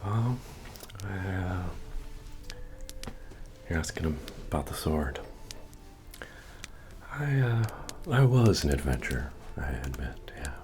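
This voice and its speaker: A man playing a friendly, humble medieval innkeeper and retired adventurer in a soft-spoken, folksy ASMR fantasy roleplay, with hesitant murmuring. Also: very animated, takes it slowly, long pauses, full character voice. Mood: wistful, modest. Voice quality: deep, gravelly, often breathy or whispered. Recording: music under the voice. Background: medieval bard music.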